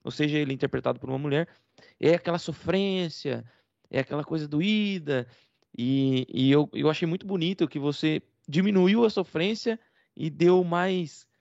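Man, Brazilian accent, gravelly, slightly high voice, dry lo-fi sound, explains at length. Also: normal speed, a little mellow, no room sound. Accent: Brazilian Portuguese. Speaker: co-host. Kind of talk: casual interview chat.

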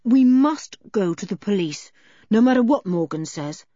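A woman's voice: mid-range voice, lively intonation, normal speed, mellow, dry recording, lo-fi sound, lightly acted. A woman voicing a cool, determined and responsible boy in an audiobook, earnest and decisive. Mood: troubled. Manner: earnest, decisive, plain-spoken. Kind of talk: audiobook character voice.

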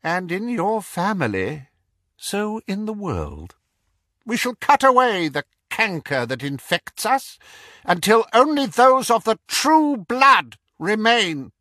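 A man voicing a cold, menacing male dark lord with a quiet, carrying voice, speaking slowly and mockingly. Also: high-pitched, sing-song, no room sound, clean studio sound, voice pushed up, heavily acted. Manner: slow, silky and mocking. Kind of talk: audiobook character voice.

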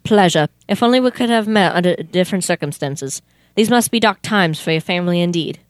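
A young woman playing a businesslike, easily exasperated male detective in a comic old-time radio play, questioning in a deadpan way. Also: on the low side, brisk, dry recording, close to an everyday voice. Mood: formal.

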